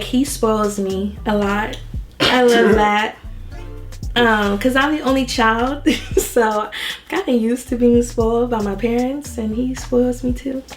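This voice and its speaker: A chatty young woman with a slightly high voice, talking casually with her partner for their video. She laughs easily and is just getting over a cold. Soft music plays behind her. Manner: chatty, laughs often, lively. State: recovering from a cold. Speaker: vlog co-host. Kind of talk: casual couple Q&A chat.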